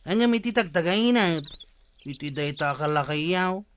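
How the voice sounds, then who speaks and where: An actor playing a skeptical, scolding village companion in a radio comedy-drama, dismissive and needling. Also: male voice, a little high, expressive, unhurried, fairly bright tone, slight background noise.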